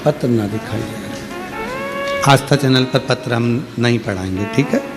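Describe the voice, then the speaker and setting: Male preacher, mid pitch, emphatic devotional delivery, faint music underneath. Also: moderate pace. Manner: emphatic preaching with rising exclamations. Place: open-air religious gathering.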